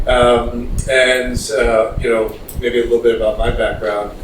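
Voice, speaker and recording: A man's voice: medium pitch, flat delivery, unhurried. A middle-aged man with an American accent, introducing himself informally as a panelist. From Pennsylvania, US. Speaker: panelist, company chief executive. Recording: echoey noisy hall, distant mic.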